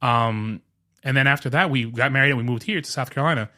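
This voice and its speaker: A man's voice: mid-range voice, quick pace, dry recording, clean studio sound. A man in his thirties whose first language is Spanish, co-hosting a podcast and telling stories in a joking, casual way. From New York. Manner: storytelling, joking, animated retelling. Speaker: podcast co-host. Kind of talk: casual chat.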